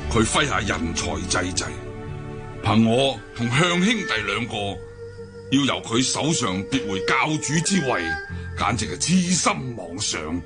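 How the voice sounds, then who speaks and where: An actor playing a proud, brooding older deposed martial-arts cult leader in a Hong Kong Cantonese radio drama, declaiming and sighing theatrically. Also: male voice, mid-range voice, big pitch swings, unhurried. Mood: grave, resigned. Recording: music bed behind the voice.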